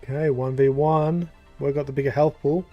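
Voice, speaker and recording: A man casually commenting as he plays through a game, thinking aloud with pauses and fillers. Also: fairly high pitch, fairly even intonation, muffled recording, faint music behind. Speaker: gaming YouTuber. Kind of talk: casual let's-play commentary.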